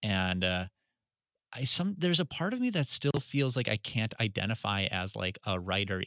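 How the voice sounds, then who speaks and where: Thirties Midwestern man, radio DJ, low crisp voice, dry close sound, rambling reflective answers. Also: pushed and bright, dry recording. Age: 30s. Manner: searching, full of restarts and "like". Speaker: radio music director and DJ.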